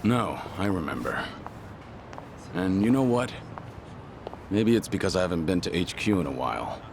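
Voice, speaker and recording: Gravelly, very deep stoic yakuza legend. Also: male voice, big pitch swings, noisy background, exaggerated voice. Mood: calm, warm. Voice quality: low, gravelly. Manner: measured, restrained. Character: stoic aging male yakuza legend, grave but gentle. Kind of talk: video game cutscene acting.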